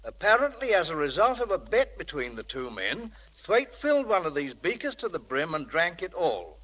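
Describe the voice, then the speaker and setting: An actor playing a polite, apologetic police inspector, formal and deferential, in old radio drama style. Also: male voice, on the high side, very animated, normal speed, a bit bright, low-quality recording. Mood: grave, formal. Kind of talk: 1940s radio acting.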